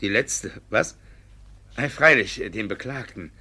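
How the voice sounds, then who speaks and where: Casting: devious rural judge in classic radio play, swooping intonation, husky voice, stammering bluster. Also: male voice, fairly low pitch, lively intonation, measured pace, pushed and bright, heavily acted. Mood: evasive, flustered. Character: devious, blustering village judge hiding his guilt. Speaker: actor as village judge. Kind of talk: classic German stage comedy as radio play.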